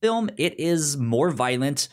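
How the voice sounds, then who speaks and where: A man co-hosting a film podcast, chatting casually and haltingly as he searches for words. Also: a little high, very animated. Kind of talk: casual film discussion and recommendations.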